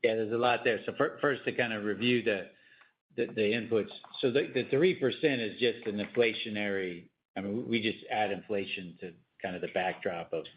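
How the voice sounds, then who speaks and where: A man answering questions as an executive on an earnings call, explaining in a measured, unscripted way with repeated words. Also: on the low side. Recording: dull, band-limited conference call audio.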